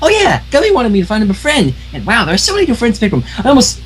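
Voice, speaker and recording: Man voicing a bubbly pony baker girl, high squeaky rambling, wildly bouncy pitch, faint hum behind. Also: huge pitch swings, racing, heavily acted. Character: hyper cheerful young female pink pony baker. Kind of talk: amateur reading of fan fiction.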